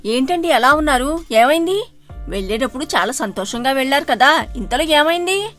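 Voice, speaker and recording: A woman voicing the scheming uncle's curious wife in an animated story, prodding with questions. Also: sits high, moderate pace, faint music behind.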